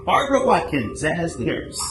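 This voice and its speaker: Male old-time radio announcer, super animated, high pitch, echoey n noisy. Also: moderate pace. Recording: echoey stage recording, clear noise.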